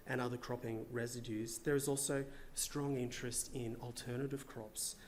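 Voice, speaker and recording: A man, a government official, giving a measured conference presentation with a slightly hoarse, tired voice and frequent filler words. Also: mid-range voice, fairly even intonation, a little hiss or hum. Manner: measured, partly read remarks with frequent uhs. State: losing his voice.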